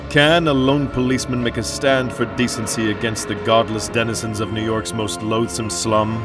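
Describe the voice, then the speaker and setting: An actor playing a portentous, earnest announcer in an old-time radio drama. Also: male voice, fairly low pitch, steady tone, moderate pace, close to an everyday voice. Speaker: radio announcer and sponsor host. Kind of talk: 1930s radio announcing, melodramatic. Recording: music behind the voice.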